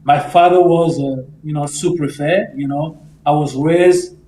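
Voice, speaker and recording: A man in his sixties from Cameroon, a native French speaker with a Francophone Cameroonian accent, giving earnest, deliberate political commentary as a guest. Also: on the high side, steady tone, mellow. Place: indoor room on a video call. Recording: echoey room, noisy video call.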